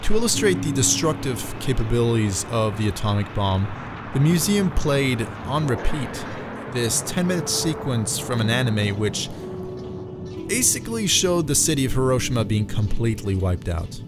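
A man hosts a scripted video essay in a conversational, reflective voice. His pitch sits in the middle of the male range. Music plays clearly underneath his narration. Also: big pitch swings, moderate pace. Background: background music.

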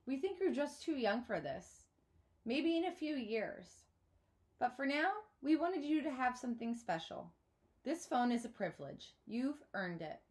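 A woman voicing a gentle, protective father in a storybook read-aloud, patient and pleading. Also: high-pitched, big pitch swings, a little slow, pitched above the performer's own voice. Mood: gentle, firm. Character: gentle, earnest, protective father of a young girl.

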